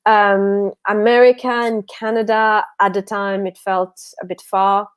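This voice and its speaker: A woman's voice: mid-range voice, little pitch movement, measured pace, smooth. A woman from Belgium, a guest author on a livestream, chatting casually and a bit tired, often correcting herself and laughing.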